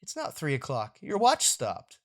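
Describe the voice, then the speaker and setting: Man doing a low, deadpan, weary dad. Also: a little slow, exaggerated voice. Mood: dry. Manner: deadpan, terse. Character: dry, unflappable, weary middle-aged father.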